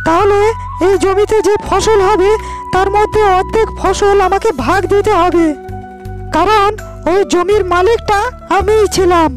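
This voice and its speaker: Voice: very high voice, steady tone, measured pace, music in the background. A performer voicing a greedy village land seller in a cartoon, demanding and domineering. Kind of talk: Bengali cartoon character dialogue.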